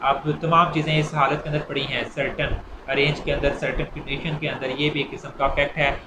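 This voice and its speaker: A man with a Pakistani accent giving an informal law lecture, explaining step by step with repetition and examples. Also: mid-range voice. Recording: echoey room with clear background noise.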